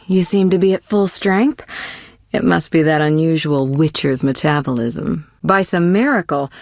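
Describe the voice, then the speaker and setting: A woman playing a poised, worldly young sorceress in a video game, caring but teasing and possessive, with measured, articulate delivery. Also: lowish voice, dry recording, studio quality, natural voice. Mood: calm, measured. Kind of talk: scripted video game voice acting.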